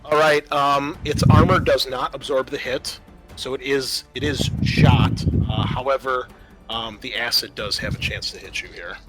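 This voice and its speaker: A man acting as game master, narrating and refereeing a tabletop roleplaying game in an informal, halting way. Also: medium pitch, steady tone, music in the background, low-quality recording.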